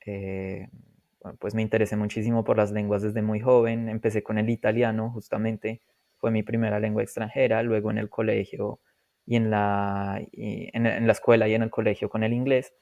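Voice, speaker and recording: A Colombian man in his twenties, a podcast guest telling his story in a relaxed way, laughing often and pausing to reflect. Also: on the low side, gravelly, dry recording. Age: 20s. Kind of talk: casual interview storytelling.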